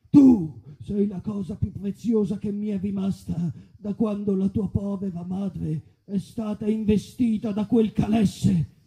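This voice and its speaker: A man acts out a possessive old father in a live stage parody. The voice sits high for a man but is very dark and muted, with a theatrical, commanding delivery full of wounded authority. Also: creaky, low-quality recording. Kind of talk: live comic stage parody of romantic melodrama.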